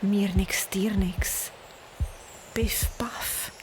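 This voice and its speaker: A woman's voice: medium pitch, fairly even intonation, very slow, low-quality recording. Whispering woman over music. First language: Czech. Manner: hushed whisper. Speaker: language teacher. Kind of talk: whispered aside. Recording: whisper with clear music behind.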